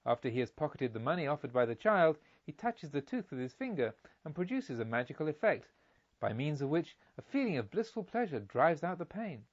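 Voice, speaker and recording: A man's voice: a little high, big pitch swings, fairly quick, muffled recording. A man narrating a storybook expressively, as in an audiobook.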